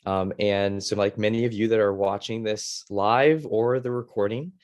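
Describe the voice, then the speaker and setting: A middle-aged American man from Ohio giving an informal online presentation as a former teacher, in a casual, halting way with many fillers. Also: on the low side, steady tone, darkish tone, highs cut off. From Ohio, United States. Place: video call.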